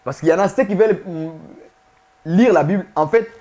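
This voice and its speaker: Man, high-pitched voice, preacher, emphatic sermon, repeats points, quizzes listeners. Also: fairly quick, dark tone. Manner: emphatic, repetitive, questions the listeners. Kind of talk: sermon teaching to viewers.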